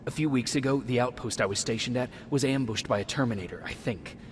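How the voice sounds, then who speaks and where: Calm earnest soldier guy voiced by an actor, game cutscene, bit of rumble in back. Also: lightly acted. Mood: quiet, earnest. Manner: measured, earnest. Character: calm, dutiful young male resistance soldier. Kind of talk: video game dramatic acting.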